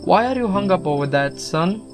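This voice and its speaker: A man playing a kindly guru in an audio drama, speaking in a gentle, measured, instructive way. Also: high voice, lively intonation. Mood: patient questioning. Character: calm, kindly male guru counselling a troubled student. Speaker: student actor. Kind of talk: scripted audio drama acting. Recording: music under the voice. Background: music.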